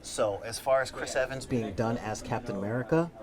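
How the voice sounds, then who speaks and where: Panelist, male, mid pitch, argues his view, lots of repeats, crowd bustle behind. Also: brisk. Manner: opinionated, argumentative, repeats himself. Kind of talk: casual panel discussion. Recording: outdoor convention crowd noise. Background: crowd chatter.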